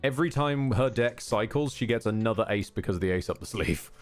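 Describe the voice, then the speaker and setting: A man's voice: fairly low pitch, expressive, brisk, faint music behind. A man giving casual live game commentary as a streamer, swearing, grumbling wryly and cutting himself off. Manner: sweary, self-interrupting, wry grumbling.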